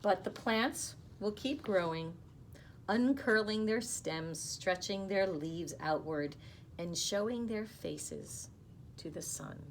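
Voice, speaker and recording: A woman in her fifties reads a picture book aloud to children, expressively and unhurriedly, with soft explaining asides. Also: mid-range voice, animated, takes it slowly. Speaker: school storytime reader. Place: small office, indoors. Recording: clearly noisy small-room recording.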